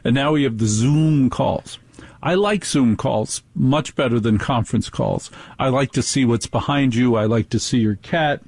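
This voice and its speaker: A man's voice: mid-range voice, normal speed, a little mellow, husky, no room sound. A man hosting a radio talk show, ranting in a rambling monologue and mocking others in put-on voices.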